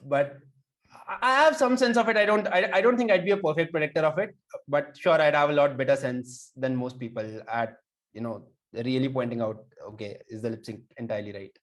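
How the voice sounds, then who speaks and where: A man speaking as a guest researcher on a video call, answering questions in a halting way with frequent restarts. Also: medium pitch. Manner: halting, restarts sentences, gets livelier when amused. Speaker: guest, deep learning researcher. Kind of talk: casual interview answers.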